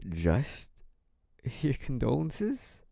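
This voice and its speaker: A man's voice: medium pitch, wildly swooping pitch, extremely slow, vocal fry, warm tone, no echo, clean studio sound, exaggerated voice. A man playing a young mafia heir in an audio roleplay, a cunning schemer who wears politeness as a mask. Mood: polite, probing. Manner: hesitant and apologetic act, turning smug and taunting. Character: young mafia heir, feigned naive politeness hiding cunning, possessive menace. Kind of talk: dramatic first-person audio roleplay.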